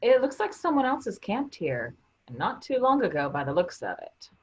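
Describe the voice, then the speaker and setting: A woman's voice: medium pitch, very animated, normal speed, husky. A woman playing a practical, teasing member of a travelling theater troupe in a staged play reading. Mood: wary. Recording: dull, lo-fi sound.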